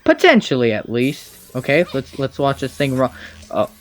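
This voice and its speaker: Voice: mid-range voice, wildly swooping pitch, moderate pace. A person giving sarcastic, nitpicking running commentary on game cutscenes. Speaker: video commentator.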